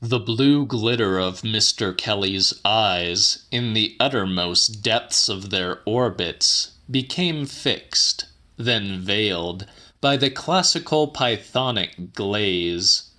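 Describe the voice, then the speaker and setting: A man reading literary fiction as an audiobook, with deliberate, precise and slightly dramatic delivery. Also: fairly low pitch, sharp tone. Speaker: audiobook narrator.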